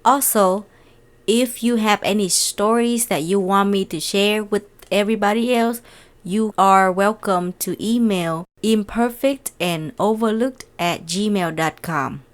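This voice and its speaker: A woman's voice: mid-range voice, a little slow. A woman from Vietnam with a Vietnamese accent and a light Southern US twang, earnestly hosting a solo podcast in slightly non-native English. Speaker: podcast host.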